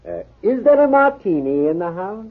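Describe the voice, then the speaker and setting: Droll, animated sidekick, higher-voiced. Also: male voice, normal speed, husky, exaggerated voice. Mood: fussy. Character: droll, fussy, martini-loving male sidekick. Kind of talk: 1940s radio acting. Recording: tinny 1940s radio recording.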